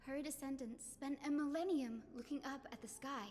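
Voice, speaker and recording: An actress playing a gentle, polite and determined young girl in a video game, speaking earnestly and formally. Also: high-pitched, normal speed, in a large hall, lightly acted. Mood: earnest pleading. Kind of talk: scripted game drama. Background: game music.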